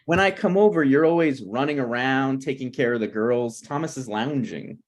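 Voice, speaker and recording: A man's voice: medium pitch, moderate pace, close to an everyday voice. A man playing an awkward, meddling friend in a sitcom table read, hesitant and apologetic yet blunt. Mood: awkward, prying. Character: awkward, opinionated, meddling male friend of a married couple. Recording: online video call table read.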